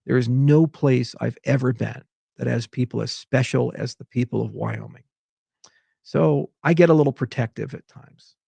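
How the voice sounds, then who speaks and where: A man's voice: medium pitch, moderate pace, gravelly, mellow, husky, no room sound. A man from Hawaii delivering an exasperated, rambling unscripted monologue as a tech founder giving commentary.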